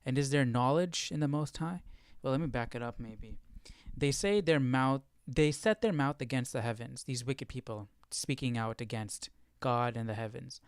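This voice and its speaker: A man's voice: medium pitch, normal speed, smooth, dry recording, clean studio sound. A man hosting a casual live Bible study, explaining as he thinks aloud and often posing questions. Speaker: livestream Bible study host.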